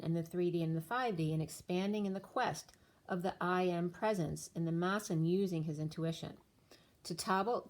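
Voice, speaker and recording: A woman's voice: lowish voice, a little mellow. A woman giving a casual spiritual tarot reading, rambling through her explanations while losing her voice. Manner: rambling, stream-of-thought explanations. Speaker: tarot reader.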